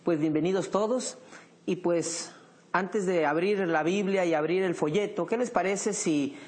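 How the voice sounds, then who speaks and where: A man hosting a Bible study program, leading the discussion in a measured teaching tone. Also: high-pitched, darkish tone.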